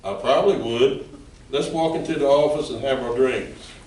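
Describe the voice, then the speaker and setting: A smooth-talking male villain with an average-pitched voice, acted by a man in an amateur play. He is flirtatious and menacing in a plainly read way. The voice comes from off in a roomy, slightly noisy space. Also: normal speed, darkish tone, natural voice. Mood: flirtatious, sly. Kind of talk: amateur community theatre reading. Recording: roomy, distant mic with background noise.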